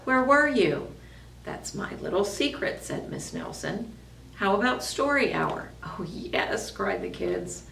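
A woman's voice: medium pitch, huge pitch swings, slow, vocal fry, fairly bright tone. A woman reading a children's picture book aloud with expression. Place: school office indoors. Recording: roomy, distant mic, faint noise.

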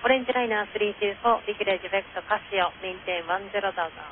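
A woman's voice: medium pitch, a little fast. A woman with a Japanese accent giving air traffic control instructions over aviation radio. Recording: hissy narrow-band aviation radio.

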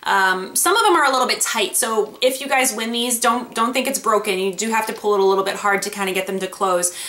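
A woman's voice: medium pitch, fast talker, pushed and bright, echoey room. A young woman vlogger talking casually at her desk about accessories and a giveaway, with plenty of ums. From Florida. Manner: chatty, lots of ums, upbeat. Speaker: beauty vlogger. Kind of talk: casual product haul talk. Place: home room with desk and lamp. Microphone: webcam at desk.

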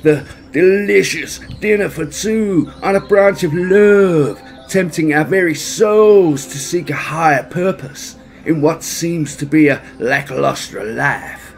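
A man's voice: fairly high pitch, slow, creaky, few pauses, faint music behind, voice pushed up. A man voicing a big, jolly, theatrical bear from a storybook, exuberant and grandiloquent. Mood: amused, gushing.

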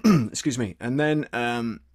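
A man's voice: medium pitch, animated, takes it slowly, darkish tone, dry recording. A middle-aged man casually talking through his audio editing as a tutorial, sometimes muttering to himself as he works. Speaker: voice-over artist and audio producer giving a tutorial. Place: small indoor desk studio. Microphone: studio desk microphone, close.

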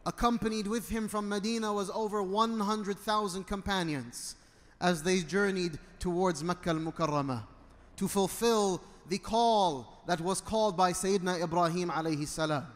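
High-pitched male preacher in a reverberant hall. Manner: emphatic, deliberate phrasing in short bursts. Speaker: imam giving a sermon. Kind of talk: sermon with Arabic recitation. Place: indoor prayer hall at a pulpit. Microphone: microphone on a stand.

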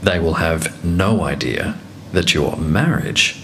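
Deep, slow male announcer with a commanding, measured read. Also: lively intonation, pushed and bright, slight background noise. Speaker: reality show voice of Big Brother. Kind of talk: scripted announcements.

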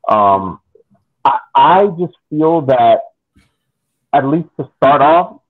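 A man's voice: mid-range voice, expressive, takes it slowly. A man giving casual, explanatory soccer analysis as a podcast panelist over a video call. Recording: phone-like video call audio.

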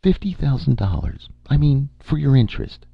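A cool, unflappable young woman, as a male narrator puts her on, coaxing and pushy in a husky, gravelly voice. Her pitch rides lively and high above his own. The sound is dull and lo-fi. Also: normal speed, no room sound, heavily acted. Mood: cool, persuasive. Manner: calm, coaxing, sly. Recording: dull lo-fi recording.

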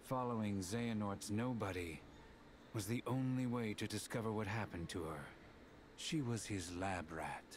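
An actor playing a cold, aloof young villain in a game drama, measured and stern. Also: male voice, fairly low pitch, slight background noise, lightly acted. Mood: grave, cold. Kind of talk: dramatic video game voice acting.

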